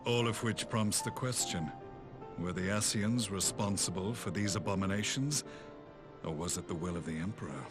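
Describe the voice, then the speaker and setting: A man performs a grave, proud old soldier in a game cutscene. His voice is deep and his delivery solemn and formal, over prominent music. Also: normal speed, lightly acted. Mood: grim. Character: grave, proud, repentant middle-aged male former imperial commander. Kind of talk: video game cutscene acting.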